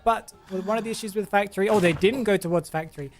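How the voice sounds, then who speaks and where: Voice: medium pitch, fast, faint music behind. A host giving casual, chatty commentary on gameplay, thinking aloud and often trailing off to restart a sentence. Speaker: game commentator and channel host.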